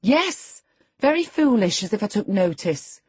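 A woman's voice: fairly high pitch, lively intonation, normal speed, dark tone, slightly rough, dry recording, voice pushed up. A woman voicing a peevish, evasive teenage girl from a genteel household in a storybook reading. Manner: petulant, stammering when flustered.

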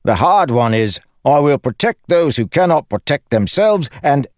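A man's voice: a little high, very animated, moderate pace, dry recording, clean studio sound, pitched above the performer's own voice. A man voicing a cocky, chatty young male soldier in an audiobook, flippant and theatrical. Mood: breezy, boastful. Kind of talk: audiobook character acting.

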